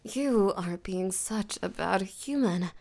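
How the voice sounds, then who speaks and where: Woman doing a flirty menacing vampire lady for roleplay audio, possessive n teasing, breathy and whispery, lots of vocal fry, really unhurried. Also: mid-range voice, a little mellow. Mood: taunting, amused. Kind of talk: roleplay audio drama, intimate acting.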